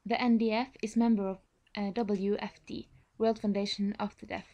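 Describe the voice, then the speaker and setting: A woman's voice: medium pitch, flat delivery, moderate pace, warm tone. Norwegian-accented woman giving a presentation. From Norway. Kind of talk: prepared informational presentation.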